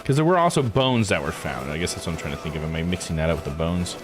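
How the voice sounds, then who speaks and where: A man's voice: sits low, lively intonation, fast, background noise. A man doing a casual let's-play, thinking aloud as he plays. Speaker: video game streamer. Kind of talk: casual let's-play commentary, reading game text aloud. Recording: game music under the voice. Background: video game music.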